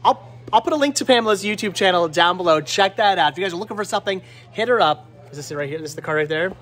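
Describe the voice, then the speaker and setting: A man's voice: sits high, lively intonation, rapid-fire, a bit bright. A man in his thirties hosting a casual vlog, chatting to the camera and often repeating his words as he stammers along. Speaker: vlog host. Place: small cluttered movie and game store. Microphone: handheld camera at arm's length.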